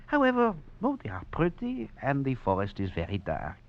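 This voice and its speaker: An actor playing an escaped French convict, a philosophizing rogue, narrating and talking with theatrical, florid phrasing and wry chuckles in 1940s radio drama style. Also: male voice, medium pitch, wildly swooping pitch, normal speed, dry recording. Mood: sly, admiring. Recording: muffled old radio recording with music.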